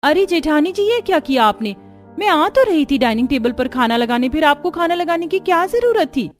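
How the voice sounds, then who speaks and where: Woman acting an earnest high-voiced young daughter-in-law. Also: sing-song, fairly quick, faint music behind. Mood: indignant. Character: eager, dutiful young Indian daughter-in-law. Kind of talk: Hindi cartoon story acting.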